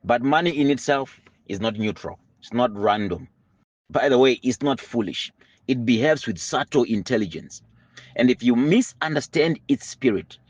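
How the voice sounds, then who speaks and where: A man's voice: medium pitch, dry recording. A man giving a motivational lesson on money, earnest and building with preacher-like emphasis. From Zimbabwe. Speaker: money mentor.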